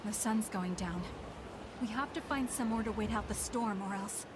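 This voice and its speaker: A woman's voice: mid-range voice, normal speed, natural voice. An actress playing a level-headed young female scout who speaks firmly. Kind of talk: game voice acting. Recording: game audio with wind ambience.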